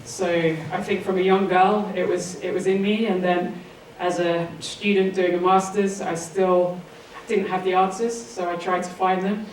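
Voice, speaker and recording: A woman from England with a southern English accent speaks as an interview guest in a fairly low voice. Her delivery is measured and stays very even in pitch. The sound is roomy and echoing. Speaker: interview guest, sports author. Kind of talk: interview answers, personal storytelling. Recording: roomy echo, distant mic, faint noise.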